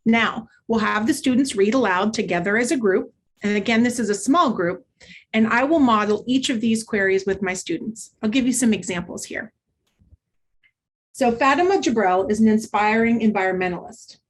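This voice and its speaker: A woman's voice: a little high, moderate pace. Woman giving a structured lesson. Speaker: literacy instructor. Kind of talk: instructional presentation with modeled read-aloud.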